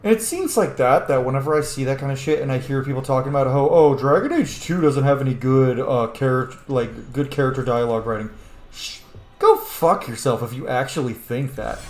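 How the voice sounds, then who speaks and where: A man's voice: medium pitch, fairly quick. A man who streams games, giving casual, opinionated and sweary gameplay commentary. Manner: opinionated, profane. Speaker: game streamer. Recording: roomy sound with faint game music.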